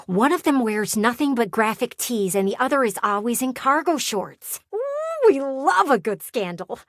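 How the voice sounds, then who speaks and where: A woman's voice: fairly high pitch, lively intonation, moderate pace, no echo, clean studio sound. A woman voicing a nosy, sassy talking window in a video game, chatty and theatrical like a gossiping neighbor. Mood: conspiratorial gossip. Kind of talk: video game character voice acting.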